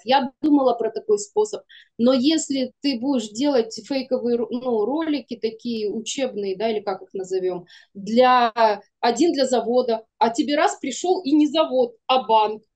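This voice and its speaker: Higher-pitched woman chatting informally. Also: a little mellow. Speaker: guest, animation studio producer. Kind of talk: casual interview chat. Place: room indoors, video call.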